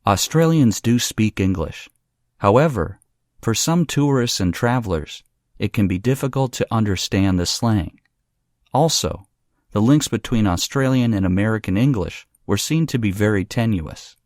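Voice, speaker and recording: A man reading practice texts aloud in a formal, measured way. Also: lowish voice, expressive, darkish tone, no room sound, clean studio sound. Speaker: reader of practice passages. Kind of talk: scripted read-aloud of study texts.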